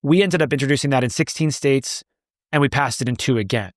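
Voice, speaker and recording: A man's voice: medium pitch, a little fast, no room sound, clean studio sound. A man answering interview questions as the founder of an advocacy group, speaking emphatically and repeating words as he builds a point.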